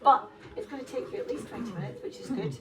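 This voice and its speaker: A woman's voice: on the high side, animated, fast, distant mic. A woman whose first language is Polish, hosting a festive dinner and speaking to the guests with a playful, teasing, laughing manner. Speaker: event host. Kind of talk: lively hosting speech to a crowd. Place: large indoor café hall. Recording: crowd chatter in a large hall. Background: crowd of dinner guests.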